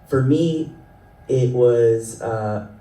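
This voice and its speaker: Guy on a festival panel rambling about his career, mellow voice, echoey room, faint hiss. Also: medium pitch, extremely slow. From South Florida, Ecuadorian family. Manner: rambling, self-deprecating, laughs often. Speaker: panelist, animation writer and creator. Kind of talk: panel discussion. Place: event panel hall. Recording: roomy sound from a distant mic, faint noise.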